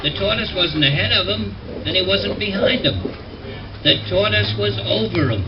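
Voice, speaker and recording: A man narrating a children's story record in a lively storyteller manner. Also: high voice, moderate pace. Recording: dull old record under loud music.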